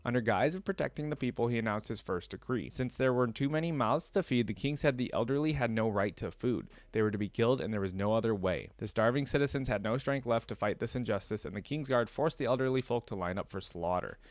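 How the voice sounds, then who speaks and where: A man's voice: mid-range voice, fast, hardly any pauses, no room sound. A man hosting a reaction video, reading a story aloud and commenting casually in slangy asides.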